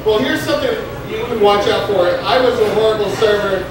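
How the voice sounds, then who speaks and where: A young man doing stand-up comedy in a restaurant, rambling and halting with many fillers, and acting out a stoned, forgetful waiter. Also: high voice. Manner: acts out a stoned, scatterbrained waiter. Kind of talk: open-mic stand-up comedy. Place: Mexican restaurant with a live crowd. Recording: very echoey room, distant mic, crowd noise.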